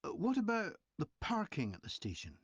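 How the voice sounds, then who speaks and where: A man's voice: high voice, huge pitch swings, a little fast, husky, no room sound, highs cut off, heavily acted. A man playing a courteous, methodical survey interviewer in a scripted dialogue. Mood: polite, businesslike. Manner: polite, methodical questioning. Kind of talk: scripted listening-test dialogue.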